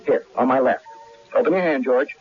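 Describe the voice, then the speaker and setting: A man's voice: medium pitch, moderate pace, faint music behind, close to an everyday voice. An actor playing a good-natured, puzzled trolley motorman in an old-time radio drama, speaking hesitantly and plainly. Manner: hesitant, wondering, plain-spoken. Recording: dull lo-fi radio recording.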